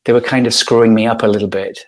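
A middle-aged man from Yorkshire, speaking as a podcast guest and sharing his life story in a thoughtful, unhurried way with many pauses. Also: lowish voice, level tone. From Yorkshire, northern England. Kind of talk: reflective personal storytelling.

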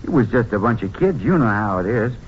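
A man's voice: on the low side, very animated, fairly quick. An actor playing an evasive, folksy rural Texas man, defensive about his brother, in a 1950s radio drama. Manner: folksy, colloquial, dropped subjects and double negatives. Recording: lo-fi 1950s radio, faint noise.

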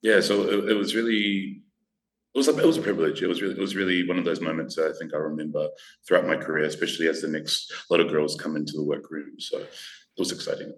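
A man with a raspy voice, chatting playfully as a drag performer guest. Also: extremely low pitch, fast talker, reverberant. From Australia. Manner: playful, camp, laughing often. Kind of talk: casual podcast chat.

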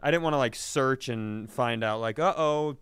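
A man's voice: mid-range voice, moderate pace, fairly bright tone, clean tone, no room sound, studio quality. A man co-hosting a comedy podcast, telling stories in casual, profane banter with mock quotes.

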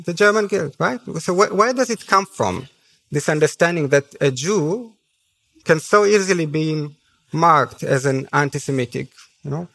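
Higher-voiced man with an Israeli accent. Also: expressive, normal speed, gravelly, fairly bright tone, poor audio. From Haifa, Israel. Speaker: panel speaker. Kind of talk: personal public speech at a conference. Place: on stage at a conference.

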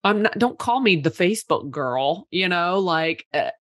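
A woman in her thirties with a low voice chats casually as a podcast guest. She tells stories with lots of "like" fillers. The sound is dry and close. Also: unhurried, no room sound. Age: 30s. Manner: chatty, many "like" fillers, animated storytelling. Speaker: PR company owner, guest.

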